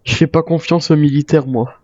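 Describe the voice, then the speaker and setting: Man, mellow voice, wary survivor role-play, video game, muffled roomy recording. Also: on the high side, fairly quick. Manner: speaks in character as a wary survivor. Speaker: player role-playing a zombie apocalypse survivor. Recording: muffled lo-fi mic, roomy echo.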